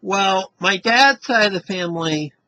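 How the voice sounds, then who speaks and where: A man's voice: high-pitched, expressive, a little slow, bright, forceful tone, poor audio. A man with a Western Massachusetts accent, reading a word list and chatting casually to camera, rambling and tagging "you know" onto his sentences. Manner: rambling, says "you know" after almost every line. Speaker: vlogger doing an accent tag.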